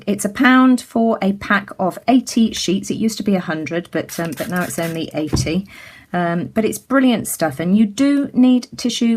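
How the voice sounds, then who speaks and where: A woman from the UK giving a casual craft tutorial as she works, chatty and full of ums, her voice a little croaky. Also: fairly low pitch. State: slightly croaky. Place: indoors at a craft table.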